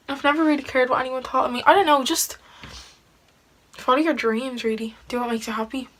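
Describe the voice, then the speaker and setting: A woman's voice: sits high, a bit bright. A teenage girl, chatting casually through a get-ready-with-me video and answering questions about herself. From Ireland. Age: teens. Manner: chatty, giggly, lots of "like" fillers. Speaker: beauty vlogger.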